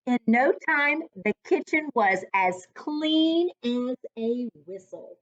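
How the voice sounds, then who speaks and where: A woman reading a children's storybook aloud in an expressive, deliberate storytelling manner, as a reading coach would for young children. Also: fairly high pitch, muffled recording.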